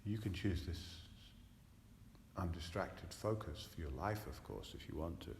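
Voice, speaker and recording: A man leading a guided relaxation meditation as a teacher, soothing and hypnotic, repeating phrases between long pauses. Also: deep voice, expressive, gravelly, darkish tone. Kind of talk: guided relaxation meditation, spoken live to a group. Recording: echoey room with long reverb, faint noise.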